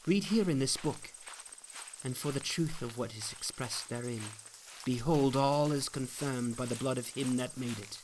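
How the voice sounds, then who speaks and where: A man's voice: mid-range voice, normal speed, a little hiss or hum, close to an everyday voice, poor audio. A man voicing an earnest, fervent pilgrim who exhorts and pleads in solemn archaic speech, in a dramatic storybook reading. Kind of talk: dramatic reading of an old allegory.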